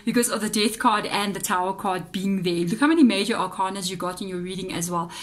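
A woman's voice: mid-range voice, fast talker. Chatty South African woman reading tarot. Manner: chatty, musing aloud, addresses viewers directly. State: voice a bit off, slightly unwell-sounding. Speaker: tarot reader. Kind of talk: casual tarot reading to camera. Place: indoors at a tabletop altar.

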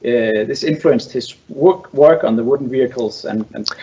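A man casually hosting and putting questions, halting and rephrasing as he goes. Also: mid-range voice, mellow, dull audio. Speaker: host relaying viewer questions. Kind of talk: casual, unscripted interviewing.

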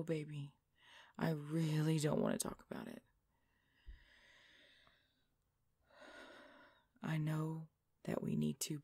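A woman playing a tough, weary, injured biker girlfriend in an ASMR roleplay audio drama, speaking haltingly and protective of her boyfriend. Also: sits low, creaky, a little mellow, slightly rough, exaggerated voice. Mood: tired, soft.

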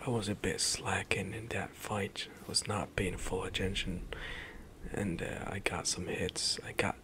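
A young man whispering ASMR commentary while playing a game, soft and hushed with sudden loud outbursts, into a desk mic in a small room. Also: low voice, level tone, a little slow. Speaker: gaming streamer. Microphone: headset and desk mic, webcam in corner.

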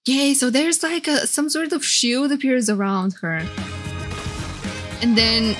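A woman's voice: sits high, measured pace. A woman streamer giving casual, gushing, exclamatory reaction commentary. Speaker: VTuber streamer. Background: game trailer music.

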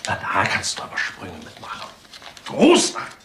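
An actor playing a crude, contemptuous middle-aged father in a crime radio play, sneering and shouting. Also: on the low side, wildly swooping pitch, moderate pace. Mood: scornful rage. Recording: roomy radio play with background noise.

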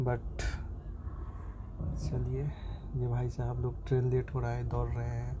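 A man's voice: medium pitch, completely flat delivery, slow. A young man chatting casually in a travel vlog, thinking aloud with many fillers and restarts, filming on a phone by a train window. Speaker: vlogger. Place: inside a train compartment at a station, by the window. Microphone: phone camera held near the window. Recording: noisy phone recording in a train. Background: station and train ambience.

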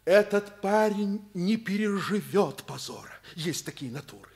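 An actor playing a stern but passionate middle-aged school director, earnest and insistent, in a Soviet radio drama. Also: male voice, sits high, lively intonation, unhurried, vocal fry, a little mellow, heavily acted. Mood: earnest pleading. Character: stern, passionate male school director defending his pupil.

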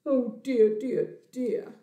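Woman as male storybook bear, gentle, dreamy, sighing exclamations, unhurried, roomy sound. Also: fairly high pitch, fairly even intonation. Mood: dismayed.

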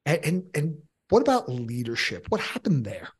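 Husky-voiced male podcast host. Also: on the high side, huge pitch swings, normal speed. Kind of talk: casual interview chat.

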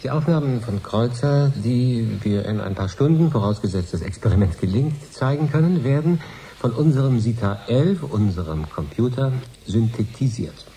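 A man's voice: mid-range voice, animated, moderate pace, dark tone, a little hiss or hum, lightly acted. An actor playing a sober, factual professor explaining his experiment in a radio drama. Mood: matter-of-fact. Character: sober, matter-of-fact male professor leading an experiment. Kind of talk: 1980s German radio drama acting as interview.

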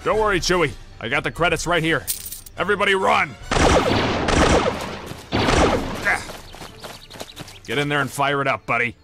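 A man's voice: a little high, animated, fast talker, over loud music. An actor playing a cocky, exasperated male smuggler captain in a comic dub. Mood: urgent, reassuring.

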